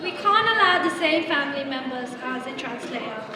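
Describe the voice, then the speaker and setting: Commanding female police officer, played by an amateur actress, high voice, stage play, far from the mic in a ringing hall. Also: expressive, a little fast, over a noisy crowd. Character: brisk, commanding woman police officer in charge. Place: large echoey indoor hall, far from the mic. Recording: distant, very echoey hall with audience noise.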